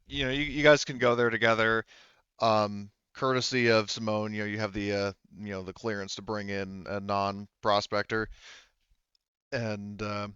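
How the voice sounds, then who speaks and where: A man's voice: lowish voice, sharp tone, smooth, no room sound, muffled recording. A man running a tabletop roleplaying game as game master, narrating off the cuff and searching for words. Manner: halting, hedging, searches for words.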